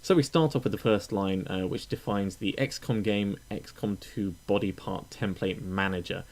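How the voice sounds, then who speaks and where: A man with a fairly low voice talks through a software tutorial one step at a time. His delivery is informal and instructional, with frequent small hesitations as he goes. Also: moderate pace. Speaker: tutorial presenter.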